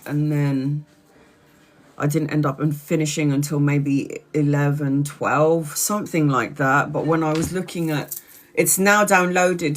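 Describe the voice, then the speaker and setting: Congested woman chatting on a livestream. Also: low-pitched. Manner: rambling, tired, chatty. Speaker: tarot reader. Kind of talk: casual livestream chat.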